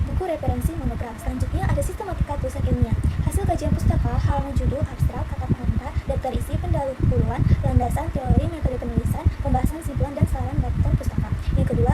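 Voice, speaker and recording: A young woman reading a scripted academic presentation aloud, reciting it point by point like a student. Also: high-pitched. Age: young adult. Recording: loud background noise with clicks.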